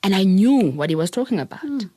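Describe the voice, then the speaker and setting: A woman's voice: medium pitch, very animated, fast, warm tone, a little hiss or hum. A South African woman telling her personal story as a podcast guest, thoughtful, often laughing and repeating words as she thinks.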